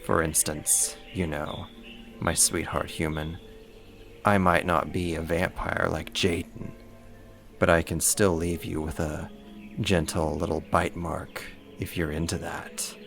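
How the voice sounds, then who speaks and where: A man playing a big possessive werewolf in a roleplay audio drama, gravelly, smug and flirtatious while taunting his rival. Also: low-pitched, level tone, slow pace, fairly bright tone, faint music behind, exaggerated voice. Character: big cocky possessive male werewolf, smitten and boastful.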